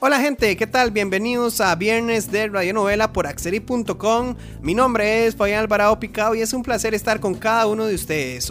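A man hosts a radio show, reading a scripted introduction. His voice is high, and soft music plays behind him.

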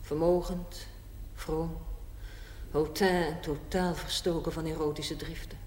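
An actress playing a worldly, unrepentant older woman in a television drama, speaking deliberately and theatrically with dry sarcasm. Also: low-pitched. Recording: echoey hall with steady hiss.

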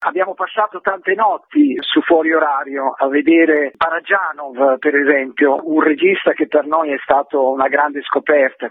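A man's voice: on the high side, gravelly, slightly rough, no room sound. A man, a film director, explaining his work passionately over a phone line on a radio interview. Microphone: telephone.

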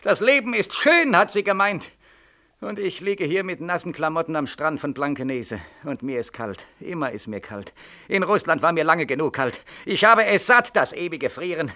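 Young war returnee, bright forceful higher voice, bitter sarcasm, despairing, vintage radio drama. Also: male voice, lively intonation, normal speed. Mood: anguished despair. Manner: bitterly sarcastic, emphatic, repeating phrases. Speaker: actor in a radio play. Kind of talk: 1940s German radio play acting. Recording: vintage 1940s radio studio recording.

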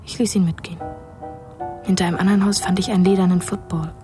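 A woman's voice: fairly low pitch, fairly even intonation, quick pace, music in the background, natural voice. An actress in a radio play narrating the memories of a bereaved, furtive boy in a detached, laconic way.

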